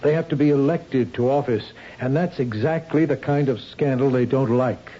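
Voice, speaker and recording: An actor playing a reassuring, practical husband with a husky, dark voice in a radio drama. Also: male voice, mid-range voice, normal speed, a little hiss or hum, lightly acted. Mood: frank. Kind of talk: 1970s radio drama acting. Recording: dull lo-fi old radio recording.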